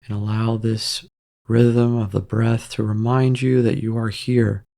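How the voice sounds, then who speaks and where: A man leading a guided meditation in a calm, gentle, measured way, leaving long pauses between soft instructions. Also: lowish voice, gravelly.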